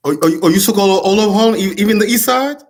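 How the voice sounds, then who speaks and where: A man's voice: high voice, fast talker. A man hosting a casual interview, jokey, who keeps repeating his affirmations. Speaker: show host and comedian.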